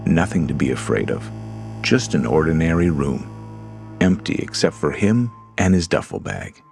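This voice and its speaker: A man narrating a horror story in a slow, deliberate storytelling manner. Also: very deep voice, vocal fry, darkish tone. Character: Lars, a frightened man alone in a dark house. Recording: music under the voice.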